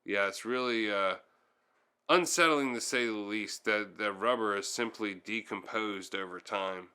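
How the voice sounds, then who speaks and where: Man, low-pitched, crisp and bright, casual repair tutorial host, thinking aloud. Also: unhurried. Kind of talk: hands-on how-to narration, casual.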